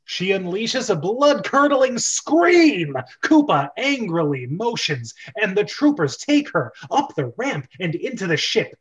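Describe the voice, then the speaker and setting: A man's voice: high voice, sing-song, measured pace, hardly any pauses. A man narrating stage directions in a casual amateur script reading, breaking off into chatty asides. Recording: dull, lo-fi voice recording.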